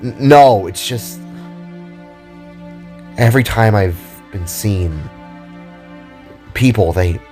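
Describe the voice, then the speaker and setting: Man voicing a low, muttering, anxious masked stranger. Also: expressive, slow pace, long pauses, darkish tone, soft background music. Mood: nervous, hesitant. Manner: stammering, muttering under his breath. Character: anxious, exhausted masked male stranger, shy spark being.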